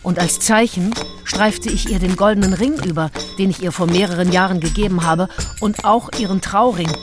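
An actress playing a candid, passionate, willful Englishwoman confiding her diary in an intimate radio play, with deliberate phrasing. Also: mid-range voice, lightly acted. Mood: solemn, satisfied. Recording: studio radio play with music behind.

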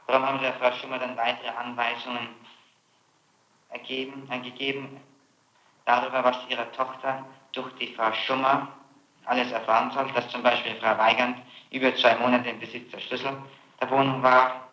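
A man's voice: mid-range voice, little pitch movement, normal speed, pauses often, bright, forceful tone, reverberant, poor audio. A man questioning a suspect as prosecutor in a formal, pressing and persistent manner, on an archival tape recording. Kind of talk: formal interrogation.

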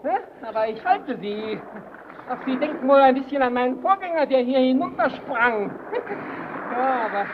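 An actor playing a brooding, self-righteous lighthouse worker in a German radio play, deliberate and solemn. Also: male voice, extremely high pitch, lively intonation. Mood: taunting. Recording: dull old radio recording, faint noise.

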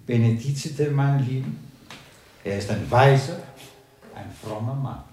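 An actor playing a chatty, devout gossip in a radio drama, earnest and easily amused. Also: male voice, medium pitch, unhurried, a little mellow, reverberant, a little hiss or hum, lightly acted. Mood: devout, hushed. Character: excitable, pious gossip, amused and admiring.

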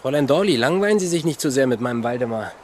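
A man's voice: medium pitch, animated, rapid-fire, natural voice. An actor playing a jovial, teasing man who courts women politely in a German radio drama.

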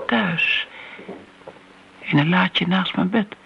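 An actress playing a guarded elderly woman in a radio drama, measured and dryly evasive. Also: on the low side, vocal fry, a little hiss or hum, heavily acted. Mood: defensive, probing. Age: older. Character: guarded elderly woman, evasive about an old secret love. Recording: dull, lo-fi radio drama recording.

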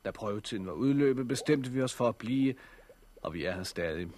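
An actor playing a steady, practical survivor and family protector in a 1960s radio drama. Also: male voice, medium pitch, slight background noise, natural voice. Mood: calm, resolute.

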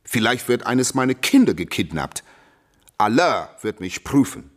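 An actor playing a proud, defiant champion boxer who boasts and throws questions back in a radio play interview. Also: male voice, mid-range voice, big pitch swings, unhurried. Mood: solemn, fateful.

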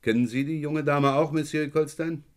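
An actor playing a shrewd, sardonic police inspector in a German radio crime drama, questioning in a pointed, wry way. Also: male voice, a little high, steady tone, moderate pace, smooth, lightly acted. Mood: shrewd, needling.

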